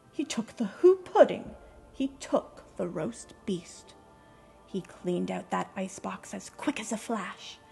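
A woman's voice: mid-range voice, gravelly, a little mellow. A woman narrator giving a theatrical, rhyming storybook reading.

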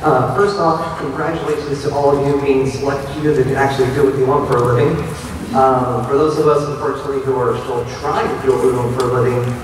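Loud-voiced man asking in an echoey hall. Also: mid-range voice, steady tone, fast talker, few pauses, background noise. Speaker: audience member. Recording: echoey hall, distant mic.

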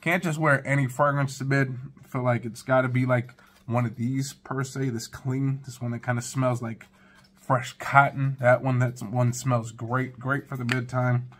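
A man's voice: mid-range voice, flat delivery, normal speed. Laid-back man chatting to camera. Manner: laid-back, chatty, talks straight to viewers. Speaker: fragrance reviewer. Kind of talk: casual first-impressions review. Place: living room at home.

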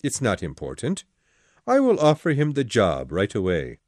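A man voicing a proud, ambitious aristocrat in an audiobook, brisk and commanding. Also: on the low side, wildly swooping pitch, a little mellow, no room sound, studio quality.

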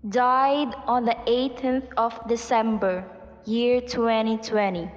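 Court announcer, played by a woman, radio drama: official, slow, slightly high, dull sound with hall reverb. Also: fairly even intonation. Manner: deliberate, official. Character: formal female court clerk reading out cases. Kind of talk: student radio drama acting.

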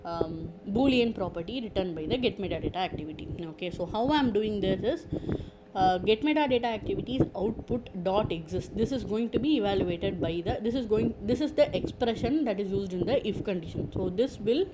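A woman presents an informal software walkthrough at a medium pitch. She explains step by step, restating each point as she goes. The recording has clearly audible noise behind her voice. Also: expressive, fairly quick. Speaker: tutorial presenter. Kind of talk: informal screen-share software tutorial.

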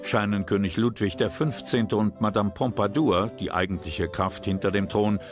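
A man narrating a historical documentary from a script in a measured, serious manner. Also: lowish voice, fairly even intonation. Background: loud music bed.

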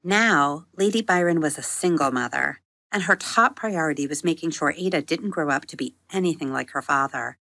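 A woman hosting a podcast, narrating a bedtime story for children in a gentle, expressive storytelling manner. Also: sits low, moderate pace, vocal fry, no room sound.

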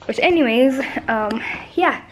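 A woman's voice: fairly high pitch, animated, unhurried, background noise. Bubbly Kenyan woman vlogging. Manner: bubbly, chatty, mixes in Swahili words. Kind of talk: casual vlog chat. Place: car, then an outdoor restaurant terrace. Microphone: handheld camera at arm's length.

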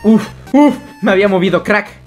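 A woman giving casual, slangy gameplay commentary and reading game text aloud, in an excitable way. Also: medium pitch, moderate pace, faint music behind. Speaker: Let's Play gamer.

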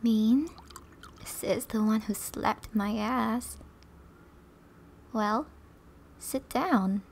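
A woman playing a flirty, teasing, affectionate wife in an ASMR roleplay, soft and often breathy, with playful, intimate delivery. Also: on the high side, extremely slow, a little hiss or hum, exaggerated voice.

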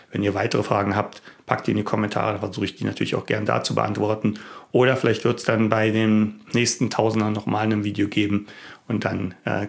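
Creaky-voiced man talking casually to camera. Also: on the low side. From Berlin, Germany. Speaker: YouTube tech vlogger, host.

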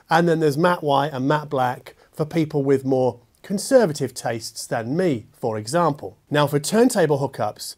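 A middle-aged man presenting a product review conversationally, with measured, emphatic phrasing and casual asides. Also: medium pitch, a little mellow. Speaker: host, audio gear reviewer. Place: furnished living room with acoustic panels, indoors.

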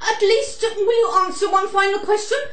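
A woman's voice: extremely high pitch, steady tone, normal speed, a bit bright, roomy sound, slight background noise. A woman playing a brave, proper, indignant lady detective in an amateur audio drama, with melodramatic delivery. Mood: firm, pleading. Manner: theatrical, melodramatic.

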